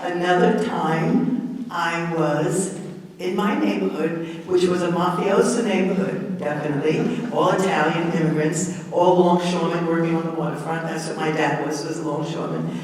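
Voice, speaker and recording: A woman's voice: fairly low pitch, fairly even intonation, normal speed, darkish tone. Older woman with a Brooklyn accent. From Brooklyn, New York. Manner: chatty, laughs at her own stories. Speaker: guest speaker and author. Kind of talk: storytelling talk. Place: library hall. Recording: echoey hall, distant from the mic.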